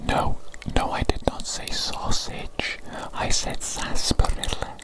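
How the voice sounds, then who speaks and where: A woman whispering softly into a close microphone, repeating each phrase and gently teasing as an ASMR doctor roleplay. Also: takes it slowly. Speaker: ASMR creator. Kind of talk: ASMR roleplay of a medical exam.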